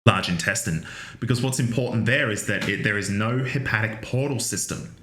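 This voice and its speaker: A man's voice: fairly low pitch, fairly quick, fairly bright tone, roomy sound, a little hiss or hum. A man giving a recorded university anatomy lecture, chatty and full of fillers, with joking asides. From Australia.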